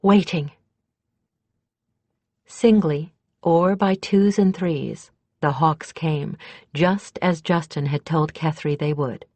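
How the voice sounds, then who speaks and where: A woman's voice: deep voice, slow, mellow, dry recording. A woman reading scripted fantasy fiction as an audiobook narrator.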